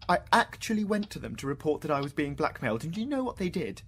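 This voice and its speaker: An actor with an English accent playing a troubled, proud middle-aged British scientist in a radio drama, intense and questioning. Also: male voice, fairly high pitch, big pitch swings, fast talker, husky, exaggerated voice. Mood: reflective, insistent. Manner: intense, questioning, swinging from hushed musing to outbursts. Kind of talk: theatrical radio drama dialogue.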